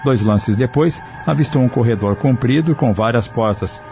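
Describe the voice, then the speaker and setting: A man's voice: medium pitch, moderate pace, dark tone, faint music behind. A man reading scripted narration for an audio novel. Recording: dull lo-fi radio drama sound.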